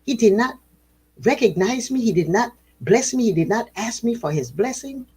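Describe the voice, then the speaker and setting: An offended male deity complaining in a raised, higher voice with a put-on African lilt, repeating his grievance with mounting indignation, as a woman storyteller puts him on. Underneath sits a husky edge. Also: lively intonation, normal speed, warm tone. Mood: indignant.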